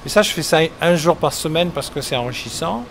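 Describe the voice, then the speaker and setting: A middle-aged man from England, a musician, answering interview questions in a relaxed, rambling way with many hesitations. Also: on the high side, a little fast, creaky. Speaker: musician guest. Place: outdoors at a summer concert event. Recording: outdoor street noise behind. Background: traffic and street ambience.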